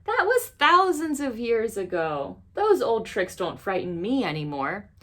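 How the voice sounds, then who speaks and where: Woman voicing a growling, boastful monster, loudly theatrical. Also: on the high side, sing-song, unhurried. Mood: menacing, hungry. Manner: growling, groaning, spitting out words. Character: horrible ancient male monster, hungry, boastful, menacing.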